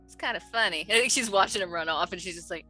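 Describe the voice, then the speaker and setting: A woman's voice: lowish voice, quick pace, soft background music. A woman playing a tabletop game, chatting casually and reacting readily as she roleplays. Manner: animated, reactive.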